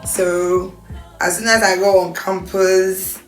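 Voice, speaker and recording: A woman with a Ghanaian accent chatting casually and reminiscing. She tells her stories in a lively way, laughs often, and acts out the voices of the people she quotes. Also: medium pitch, slow. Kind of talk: casual reunion chat, reminiscing. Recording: roomy sound, mic at a distance, music behind.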